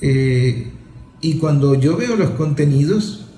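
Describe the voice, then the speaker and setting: Man, 40s, slightly high voice, emphatic conversational commentary with repetition. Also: lively intonation, takes it slowly, dark tone, echoey room. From Venezuela. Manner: emphatic, repeats and lists for effect. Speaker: father and author speaking on a talk show.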